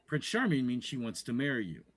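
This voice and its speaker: A man with a deep voice co-hosting a casual talk show, arguing his points bluntly and lecturing the others. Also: wildly swooping pitch, fairly quick. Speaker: podcast co-host. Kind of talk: casual debate chat.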